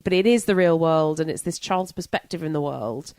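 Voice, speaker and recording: Lowish-pitched woman discussing books. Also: fairly quick, darkish tone, no room sound, studio quality. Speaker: podcast panelist. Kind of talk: casual book discussion.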